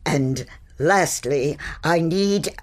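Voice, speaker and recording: A woman's voice: low-pitched, drawn-out words, full character voice. A woman playing an eccentric older witch, a grandmother in a magical realm, with a theatrical, muttering, exasperated manner in a fantasy audio drama. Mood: muttering, absorbed. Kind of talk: audio fiction fantasy acting.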